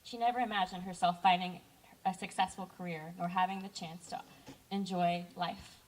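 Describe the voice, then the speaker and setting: A woman's voice: fairly low pitch, fairly even intonation, normal speed, far from the mic, low-quality recording. Earnest young woman giving a speech. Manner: heartfelt, earnest, with brief self-corrections. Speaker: camp director giving a speech. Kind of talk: personal speech to campers.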